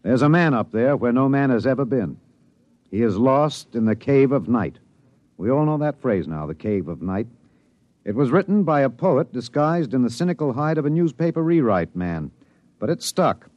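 A man's voice: mid-range voice, big pitch swings, normal speed, dry recording, close to an everyday voice. An actor playing a driven, candid radio newsman and narrator in a 1950s radio drama. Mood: grave, dramatic. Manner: off-the-cuff asides, then grave dramatic delivery. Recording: dry, dull old radio recording.

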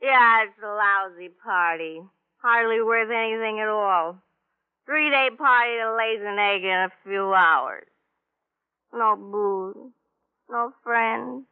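A woman's voice: mid-range voice, takes it slowly, clear, resonant tone. An actress playing a drunken, bitter party girl in a radio crime drama, with slurred, rambling talk. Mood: boozy self-pity. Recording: muffled old radio broadcast recording.

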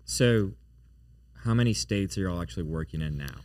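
A man hosting an interview podcast, asking questions in a relaxed conversational way. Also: low voice, moderate pace, no room sound, studio quality. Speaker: podcast host.

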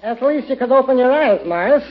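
A man's voice: very high voice, animated, fairly quick. An actor playing a wisecracking furniture salesman in a classic radio comedy, with a wry, hucksterish manner. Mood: sardonic. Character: wisecracking, fast-dealing male furniture salesman. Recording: dull, band-limited vintage radio recording.

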